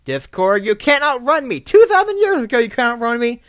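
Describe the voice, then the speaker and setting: A reader voicing a domineering pony princess who yells threats at her prey in a loud, forceful way. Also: mid-range voice, very animated, brisk, no room sound, muffled recording, pitched above the performer's own voice. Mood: screeching threats.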